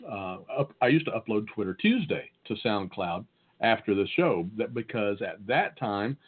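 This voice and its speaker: A man's voice: fairly low pitch, animated, moderate pace, husky. A man with a Southern US accent chatting casually as a podcast co-host, sharing tech tips. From Southern United States. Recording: phone-like, narrow-band audio.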